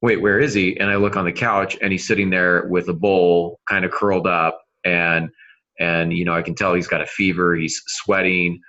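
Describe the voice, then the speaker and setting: A middle-aged man co-hosting a casual podcast discussion on writing, speaking in an earnest, emphatic way with dramatic pauses. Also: sits low, little pitch movement, pushed and bright, dull audio. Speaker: podcast co-host and novelist.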